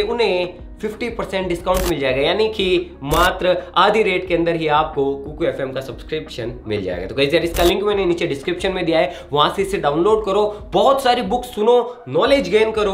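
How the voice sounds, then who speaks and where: A young man presents to camera as a show host in an excitable, familiar manner. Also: fairly high pitch, roomy sound. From India. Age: young adult. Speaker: host of a science experiment channel. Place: indoor studio with plain grey backdrop. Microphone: clip-on lapel mic.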